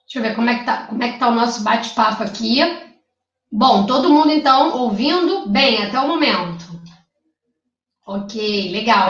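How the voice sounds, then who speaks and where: A woman's voice: high voice, a bit bright, dull audio. Carioca woman teaching, roomy and distant. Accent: Carioca Brazilian Portuguese. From Rio de Janeiro, Brazil. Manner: chatty, checks in with "tá?" and "né?". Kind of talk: live online exam-prep lecture.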